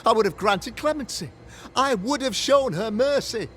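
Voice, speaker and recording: Pompous, high-voiced zealous town governor. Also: male voice, animated, normal speed, fairly bright tone, slight background noise. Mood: defensive, indignant. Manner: theatrical, oratorical, indignant. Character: self-righteous, zealous male town governor and father, pompous and defensive. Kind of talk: dramatic video game acting in period English.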